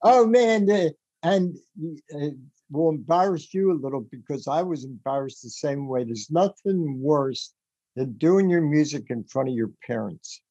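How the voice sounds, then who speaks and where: A man hosting a podcast about the music business, rambling and animated as he gives advice, with a rough voice. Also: a little high, unhurried, highs cut off. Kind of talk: casual advice chat.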